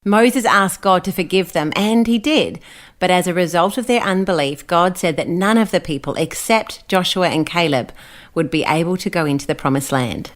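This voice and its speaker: A woman's voice: on the low side, moderate pace. A woman with an Australian accent hosting and reading scripted stories for children, explaining things simply. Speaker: children's Bible story host.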